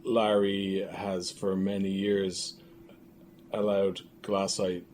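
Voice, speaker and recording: A man chatting casually as an interview guest, halting as he thinks aloud. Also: low voice, little pitch movement, darkish tone. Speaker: interview guest, audio drama creator. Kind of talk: casual chat. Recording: faint background room noise.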